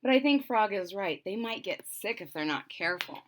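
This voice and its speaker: A woman's voice: medium pitch, animated, moderate pace, slight background noise. A woman reading a children's story aloud, expressively, with simple explanatory asides for young listeners.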